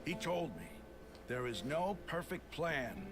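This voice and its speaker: Resolute desert mercenary chief for a video game, persuasive, widely varied delivery, music behind. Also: male voice, on the high side, big pitch swings, takes it slowly, fairly bright tone, exaggerated voice. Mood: firm, confident. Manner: dramatic, persuasive. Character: proud, resolute desert mercenary leader, adult man. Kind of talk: video game character acting.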